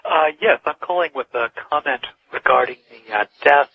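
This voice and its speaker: A man calling a radio show by telephone, talking haltingly with many filled pauses. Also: fairly high pitch, gravelly. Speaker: radio phone-in caller. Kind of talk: casual phone-in comment. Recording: weak dull telephone line on radio.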